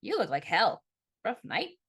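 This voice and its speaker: A woman's voice: medium pitch, wildly swooping pitch, measured pace, a bit bright, husky, exaggerated voice. An upbeat, pushy big brother, played by a woman narrator, teasing and cajoling. It is audiobook dialogue with playful familiarity.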